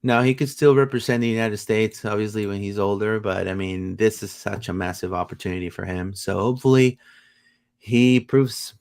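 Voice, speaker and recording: A man hosting a casual soccer livestream, rambling with many fillers and bursts of excitement. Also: fairly low pitch, moderate pace. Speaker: podcast host.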